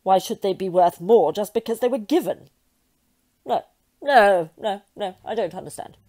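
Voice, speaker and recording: A woman's voice: medium pitch, lively intonation, normal speed, mellow, natural voice. Petulant, swooping devil, played by a woman. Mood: baffled. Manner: theatrical, indignant, haughty. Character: petulant, vain Satan, a self-pitying comic villain. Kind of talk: comic audio drama acting.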